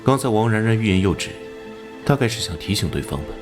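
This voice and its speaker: A man playing a gruff, hot-tempered police squad captain in an animated crime drama, blunt and demanding. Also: on the low side, quick pace, soft background music. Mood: gruff, sceptical.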